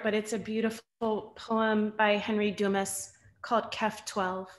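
A woman in her thirties reading poetry with deliberate, measured phrasing. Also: mid-range voice. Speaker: poet reading at an online event. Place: room at home.

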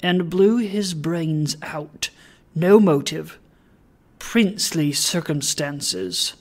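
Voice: mid-range voice, expressive, very slow, exaggerated voice. A reader voicing an agitated, discreet male secretary in a storybook, urgent and confiding in manner. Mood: shocked, grave. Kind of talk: dramatised audiobook of a classic story.

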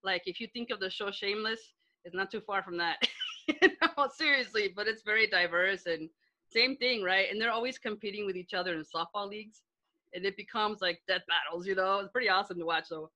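A woman's voice: medium pitch, brisk, pushed and bright. A middle-aged woman from Chicago hosting a casual video-call interview from home, chatty and gushing, swearing freely. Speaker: host. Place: bedroom at home. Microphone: laptop webcam video call.

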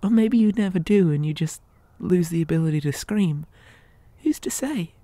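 A woman playing a possessive living doll in an ASMR horror roleplay, gently coaxing with childlike menace in a breathy, hesitant whisper. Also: on the low side, dry recording, natural voice. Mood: calmly menacing. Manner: soft, coaxing, slow and hesitant.

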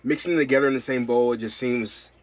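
A man in his thirties, a van-life vlogger, chatting casually to camera inside his camper van in a relaxed, rambling way with lots of fillers. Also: mid-range voice, quick pace.

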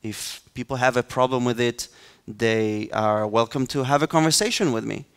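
A young adult man with an Israeli accent speaks as an interview guest. His mid-pitched voice is smooth and very clear, and his delivery is conversational. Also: moderate pace. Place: large hall stage, indoors. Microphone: headset.

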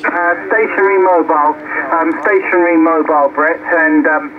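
High-pitched man on crackly shortwave radio. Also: fairly even intonation, normal speed, phone-quality sound. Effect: received over shortwave radio. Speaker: amateur radio operator. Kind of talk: ham radio contact chat with phonetic call signs. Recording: noisy band-limited shortwave radio reception. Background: radio static.

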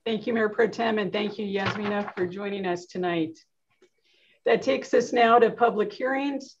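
A woman mayor runs a council meeting in a measured, procedural manner. Her voice comes over a video call with a slightly dull, muffled sound. Her pitch sits in a middle range. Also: noisy background. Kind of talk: formal meeting chairing.

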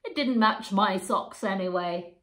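A woman doing a gentle scruffy giant. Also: mid-range voice, measured pace, roomy sound, close to an everyday voice. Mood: kind. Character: shy, kindly scruffy giant, big and gentle. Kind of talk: storybook read-aloud.

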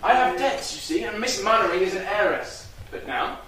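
A man's voice: high voice, expressive, normal speed, bright, forceful tone. Guy playing an oily melodrama villain, declaiming on stage, echoey hall, mic way back in the crowd. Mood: smooth. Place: small theatre stage. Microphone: far camera in audience. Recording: echoey hall, far-off mic, audience noise.

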